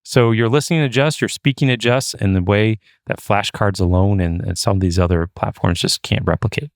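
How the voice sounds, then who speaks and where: A man's voice: fairly low pitch, a little fast, no room sound, clean studio sound. A man co-hosting a podcast, chatty and loose, with frequent fillers. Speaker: podcast co-host. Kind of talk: casual podcast chat.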